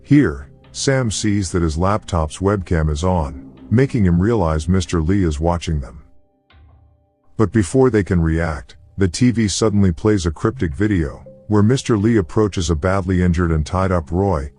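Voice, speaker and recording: A man's voice: low-pitched, moderate pace, darkish tone, soft background music. A man reading scripted narration as the narrator of a movie recap.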